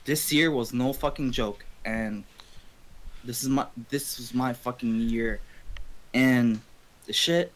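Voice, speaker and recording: A man's voice: mid-range voice, slow, pauses often, fairly bright tone, rough recording. A man chatting casually, swearing constantly, emphatic and repeating himself. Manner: foul-mouthed, emphatic, repetitive. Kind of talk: casual chat.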